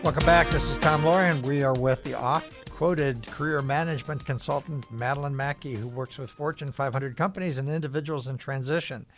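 A middle-aged man hosting a radio talk show, interviewing a guest in a relaxed, conversational way. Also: medium pitch, fairly quick, few pauses, over music.

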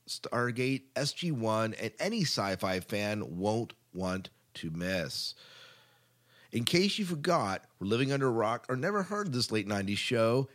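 A man's voice: on the low side, fairly bright tone. A man hosting a podcast, moving between scripted promotion and chatty asides. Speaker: podcast host.

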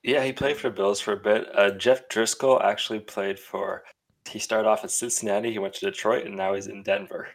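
A man co-hosting a podcast in casual chat, teasing the others with quick questions. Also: lowish voice, steady tone, gravelly. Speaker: podcast co-host.

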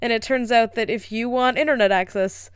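Wry, profane young woman, crisp voice. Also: fairly high pitch, dry recording, studio quality. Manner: wry, profane, quick storytelling. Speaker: podcast co-host. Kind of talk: casual chat. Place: apartment.

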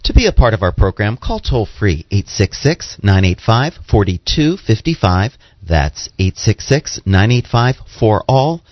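A man's voice: on the low side, big pitch swings, unhurried, few pauses, dry recording. A man co-hosting a radio show, delivering scripted announcements and scripture readings. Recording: slightly dull, band-limited radio sound.

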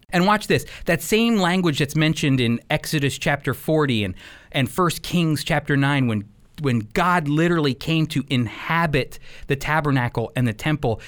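A man giving sermon-like Bible teaching on a radio program, speaking emphatically and addressing listeners directly. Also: fairly high pitch.

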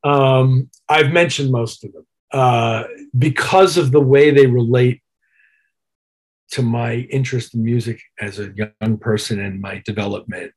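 A man with a husky voice talking as a musician guest in an interview, measured and reflective as he recounts his career. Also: medium pitch, fairly even intonation. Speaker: musician guest, touring keyboard player. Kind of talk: interview chat, telling career anecdotes.

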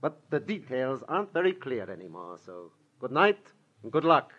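An actor playing a guarded, businesslike plant boss with a measured, reserved manner in a 1940s radio drama. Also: male voice, medium pitch, very animated, background noise, natural voice. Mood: guarded, reflective. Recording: dull old-time radio recording.

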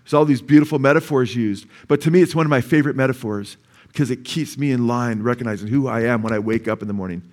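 A man's voice: mid-range voice, fast talker, darkish tone. A male pastor with a Californian accent preaching in a church sanctuary. He explains word meanings patiently, then rises to emphatic peaks. He keeps asking the congregation for an amen. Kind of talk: sermon.

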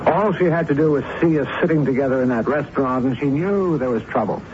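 A man's voice: mid-range voice, fast, natural voice. A man playing a dry, evasive, self-righteous drama critic in a 1970s radio drama. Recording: dull, noisy 1970s radio recording.

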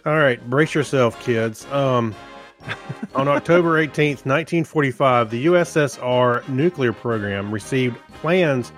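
A man's voice: mid-range voice, unhurried. A man co-hosting a podcast, chatting and reading items in an easygoing, hesitant way over music. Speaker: podcast co-host. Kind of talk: casual chat and reading out items.

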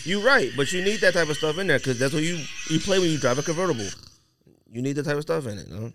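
A man's voice: fairly high pitch, animated, fast talker, music in the background. A man co-hosting a podcast, chatting casually with teasing, slangy banter. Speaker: podcast co-host. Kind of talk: casual chat among friends.

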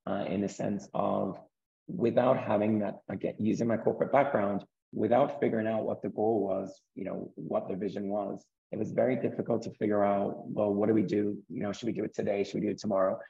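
Croaky, gravelly man, low and dark. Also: flat delivery, fairly quick, highs cut off. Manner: earnest, repeats words as he restarts. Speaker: guest, property investor. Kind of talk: interview conversation.

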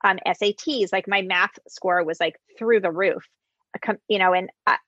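A woman's voice: medium pitch, steady tone, moderate pace, fairly bright tone, no room sound, highs cut off. A woman hosting a casual interview, chatty, with frequent restarts. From United States, Greek immigrant parents. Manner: chatty, self-correcting restarts. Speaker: interviewer, recipe creator.

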